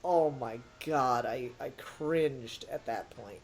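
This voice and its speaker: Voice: mid-range voice, slow. A podcast co-host giving a casual, blunt and emphatic critique in conversation. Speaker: podcast co-host reviewing an audio drama.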